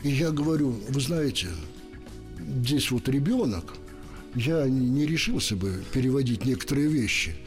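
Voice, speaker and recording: An older man from Ukraine, an interpreter, telling a story in a radio interview, lively and acting out the voices he quotes. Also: medium pitch, moderate pace, faint music behind.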